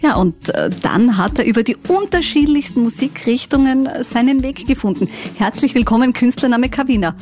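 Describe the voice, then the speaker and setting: A woman's voice: on the high side, expressive, normal speed, few pauses, darkish tone, soft background music. A woman with an Austrian accent, hosting a conversational interview in a radio studio. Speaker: radio host interviewing a musician.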